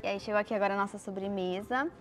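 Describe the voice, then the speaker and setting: A woman's voice: mid-range voice, fairly even intonation, rapid-fire, faint music behind. A young woman with a Brazilian Portuguese accent, chatting to camera as a travel vlogger while tasting food in a restaurant, on a lapel mic. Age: young adult. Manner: chatty, tasting aloud with murmurs. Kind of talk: casual food vlog to camera. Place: restaurant terrace, indoors.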